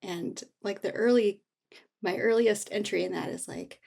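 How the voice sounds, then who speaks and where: A woman author being interviewed, telling personal stories in a candid, thoughtful, halting way. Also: mid-range voice, gravelly, darkish tone. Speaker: memoir author, interview guest. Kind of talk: casual, reflective personal storytelling.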